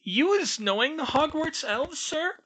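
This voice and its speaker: A tiny, frantic house-elf squeaks in a piercing high voice, pleading and bowing with every sir. His grammar is broken and his delivery is loud and lively, swooping up and down. The tone is bright and sharp. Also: unhurried, heavily acted. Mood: servile whining. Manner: servile, says sir constantly, broken grammar.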